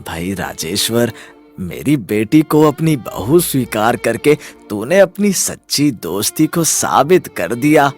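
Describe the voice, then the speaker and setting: A man's voice: medium pitch, very animated, takes it slowly, soft background music. A man voicing an earnest, grateful father in a moral story, solemn and heartfelt. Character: grateful, earnest father speaking to his old friend.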